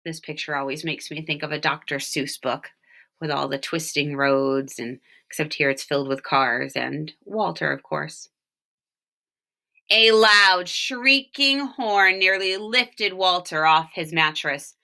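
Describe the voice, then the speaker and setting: A woman reading a children's story aloud expressively, with deliberate emphasis on key words. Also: deep voice, gravelly, sharp tone. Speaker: storybook reader, teacher reading for home learning.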